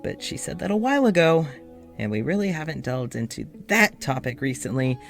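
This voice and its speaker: An actor playing an exhausted, anxious doctor recording an audio journal, speaking haltingly and wearily. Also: male voice, fairly high pitch, big pitch swings, gravelly, soft background music. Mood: weary. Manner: halting, weary, pleading, breaks into shouting. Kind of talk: audio drama monologue.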